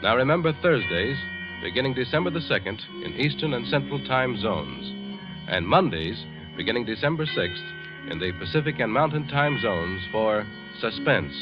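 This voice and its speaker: A man's voice: medium pitch, very animated, moderate pace, music in the background. A man reading a formal, emphatic 1940s radio network announcement. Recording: dull old radio recording.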